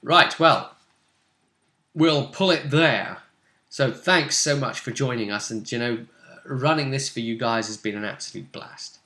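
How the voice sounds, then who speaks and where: A man casually talking through a tabletop role-playing game as game master, often pausing and thinking aloud in broken phrases. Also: medium pitch, sharp tone. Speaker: game master, host of a role-play vidcast. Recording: roomy, echoing room sound.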